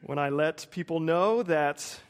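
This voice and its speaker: Male American preacher, forties, higher-pitched, anecdotal sermon style, deliberate phrasing with repetition for emphasis. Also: smooth. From United States, suburban Wilmington. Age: 40s.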